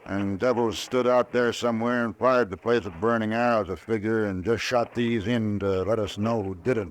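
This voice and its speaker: A fairly deep-voiced frontier townsman acted by a man in a radio western. He speaks plainly and earnestly, with a folksy, anxious edge. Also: fairly even intonation, normal speed, lightly acted. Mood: uneasy. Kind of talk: old-time radio western acting.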